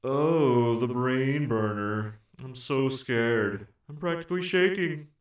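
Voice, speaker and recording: Casting: male actor as snide goth game show contestant, sarcastic and unhurried, roomy echo. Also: medium pitch, recorded from a distance, music in the background, close to an everyday voice. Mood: sneering, sarcastic. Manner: sarcastic, sneering. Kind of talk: comedy audio drama acting. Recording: echoey room, off the mic.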